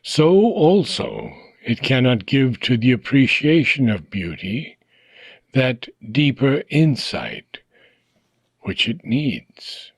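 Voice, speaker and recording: A man reading a philosophical book aloud for an audiobook, in slow, measured phrases that trail off at their ends. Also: medium pitch, sing-song, creaky, husky, no echo.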